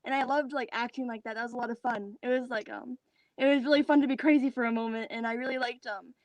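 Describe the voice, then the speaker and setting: A woman with a high voice and a dry, close sound chats on a video call. She talks as an actor, informal and youthful, with enthusiasm. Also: fairly even intonation, quick pace, no echo, muffled recording. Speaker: actor in a post-show discussion. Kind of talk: casual chat.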